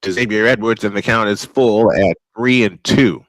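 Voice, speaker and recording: A man's voice: lowish voice, moderate pace, a bit bright, dry recording, low-quality recording. Man calling baseball play-by-play, close dry sound. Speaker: play-by-play announcer and host. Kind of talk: old-school baseball play-by-play commentary.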